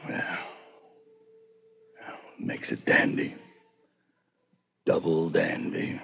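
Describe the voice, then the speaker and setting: An actor playing a bitter, vengeful ex-convict in a 1950s radio drama, gravelly, husky and sardonic. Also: male voice, low voice, animated, exaggerated voice. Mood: bitter menace. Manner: brooding, sardonic, slow. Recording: dull old radio drama recording.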